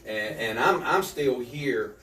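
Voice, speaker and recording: A man's voice: mid-range voice, animated, slow, sharp tone. A man in his fifties, an author talking earnestly in a casual interview and often repeating words as he starts a thought. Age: 50s. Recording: echoey room, distant mic.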